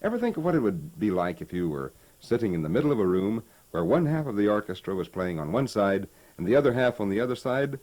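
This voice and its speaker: A folksy american stereo expert on an old 1960 demo tape, low voice that moves around a lot, explains patiently. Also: male voice, big pitch swings, a little mellow. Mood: instructive. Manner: instructive, folksy, measured. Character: knowledgeable, patient hi-fi expert, adult man. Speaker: presenter explaining stereo equipment. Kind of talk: scripted 1960 instructional tape, staged conversation. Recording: vintage 1960 promotional tape recording.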